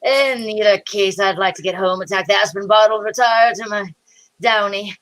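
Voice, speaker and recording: A woman's voice: medium pitch, moderate pace, a bit bright, close to an everyday voice, poor audio. A woman playing a fussy, queasy, melodramatic male sidekick with florid speech in old-time radio mystery style. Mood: queasy, dramatic. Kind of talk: 1940s radio comedy-mystery acting.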